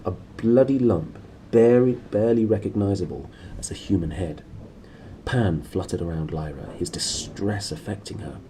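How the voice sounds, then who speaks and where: Man, expressive storyteller, mellow low voice, noticeable background noise. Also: normal speed, low-quality recording. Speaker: storybook reader. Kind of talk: expressive read-aloud narration. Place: small room indoors.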